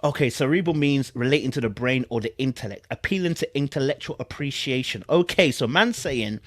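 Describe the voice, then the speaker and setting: A man's voice: mid-range voice, fairly quick, dry recording, clean studio sound. A man with a London accent hosting casual online commentary, reading aloud and reacting in informal, slangy speech with emphatic repetition. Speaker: YouTube commentary host.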